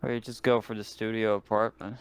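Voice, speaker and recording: A man's voice: fairly low pitch, level tone, brisk, clean tone. A man playing an online tabletop game, speaking in casual conversation. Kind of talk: casual chat. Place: online voice call.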